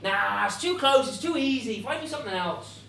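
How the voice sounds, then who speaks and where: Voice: high-pitched, big pitch swings, fast talker, a bit bright, roomy sound, far from the mic, slight background noise, voice pushed up. A storyteller voicing an anxious, dithering folktale husband who stammers and stalls, of unclear sex. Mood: stalling. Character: Hans, anxious reluctant hunter, affable dithering husband.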